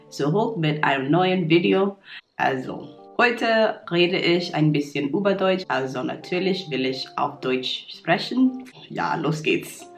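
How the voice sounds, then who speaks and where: Slow, unhurried American woman speaking German. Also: lowish voice. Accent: American English. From New York. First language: English. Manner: learner's German with small slips and fillers. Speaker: vlogger. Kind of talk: casual talk to camera. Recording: roomy echo, soft guitar music.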